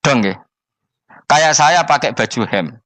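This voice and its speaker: A man's voice: mid-range voice, expressive, measured pace, a bit bright, no echo. A middle-aged man from Indonesia with a Javanese accent gives an informal Islamic lecture, teaching conversationally, repeating points and putting questions to listeners.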